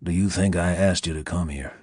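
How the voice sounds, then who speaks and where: A man's voice: low-pitched, fairly quick, darkish tone, husky, no echo, studio quality, voice pushed down. A man voicing a sly, menacing American seducer with a languid, mocking Southern drawl and a velvety, whispery purr. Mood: silky taunting. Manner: lazy drawl, mocking and insinuating.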